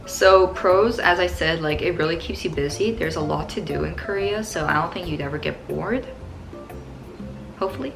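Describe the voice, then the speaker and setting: Young Canadian woman vlogger, slightly low voice, breezy Q&A chat over soft music. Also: normal speed, creaky, rough recording. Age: young adult. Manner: upbeat, chatty, many "like" fillers. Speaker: vlogger answering viewer questions. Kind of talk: casual Q&A vlog.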